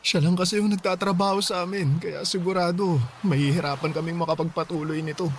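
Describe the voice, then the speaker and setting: Sad gentle young guy, tagalog radio drama, kinda high voice, self-sacrificing type. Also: fairly even intonation, a little fast, slight background noise. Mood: sad, resigned. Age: young adult. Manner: soft-hearted, earnest, sorrowful. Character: Danilo, young student boyfriend, grieving, gentle, self-sacrificing.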